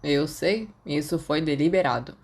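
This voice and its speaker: A Brazilian woman voicing a weary, gruff, sardonic teenage schoolboy in an audiobook story. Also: low-pitched, normal speed, darkish tone. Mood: reluctant. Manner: blunt, reluctant.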